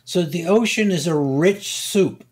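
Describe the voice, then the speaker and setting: An older man, a guest scientist, explaining slowly and deliberately, pausing and repeating words as he thinks. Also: high-pitched. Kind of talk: unhurried expert explanation.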